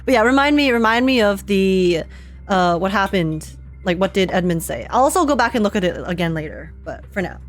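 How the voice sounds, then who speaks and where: A woman giving casual live reaction commentary on a game, giggly and rambling as she thinks out loud. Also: mid-range voice, lively intonation, a little fast, faint music behind. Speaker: streamer reacting to a game story.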